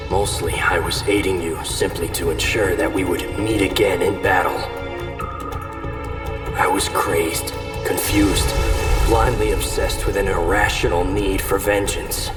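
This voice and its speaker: Casting: solemn cyborg warrior, deep slow delivery, full of regret, echoing distantly over music. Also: male voice, fairly even intonation. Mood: reflective. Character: haunted, solemn cyborg ninja warrior, remorseful old comrade. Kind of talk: dramatic voice acting.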